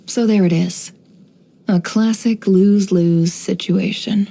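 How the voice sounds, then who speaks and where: A woman voicing a cool, shrewd, guarded young woman in a video game, composed and wry, with measured, knowing delivery. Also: medium pitch, steady tone, creaky, warm tone. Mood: dry, displeased. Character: cool, shrewd, guarded young desert-born woman running an information business. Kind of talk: scripted game character voice lines.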